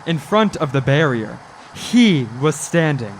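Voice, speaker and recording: Guy acting as a jittery haunted driver in a radio play, kinda high voice, earnest, noise behind him. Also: very animated, a little slow, low-quality recording. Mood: reflective, uneasy. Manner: earnest, stammering when flustered. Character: anxious male motorist haunted by a hitchhiker, narrating. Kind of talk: radio drama narration and dialogue.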